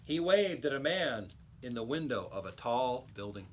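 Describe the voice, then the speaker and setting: Animated man reading a children's story. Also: mid-range voice, moderate pace, a little hiss or hum. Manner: expressive, emphatic storytelling. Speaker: read-aloud teacher. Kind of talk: expressive children's storybook narration.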